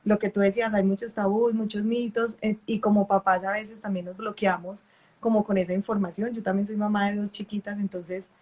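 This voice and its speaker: A woman's voice: medium pitch, level tone, a little fast, darkish tone. A woman, a mother who works with families, hosting an online live interview in a conversational way. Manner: conversational, acts out parents' questions. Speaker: host working with families.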